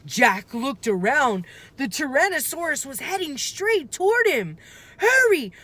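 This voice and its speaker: Slow, animated woman reading a story. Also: sits high. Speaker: storybook reader. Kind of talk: expressive children's book read-aloud.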